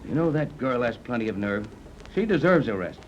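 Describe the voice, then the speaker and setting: A commanding hero captain from a 1930s adventure serial, played by a male actor. He gives decisive orders in a middle-pitched voice. The old radio recording carries some noise. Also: big pitch swings, fairly quick, muffled recording, natural voice. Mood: relaxed.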